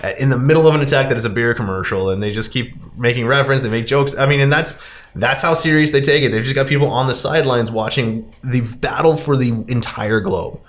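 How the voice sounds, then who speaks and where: A man's voice: mid-range voice, fast. A man hosting a podcast, recapping and discussing a film in a casual, rambling, conversational way. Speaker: podcast host.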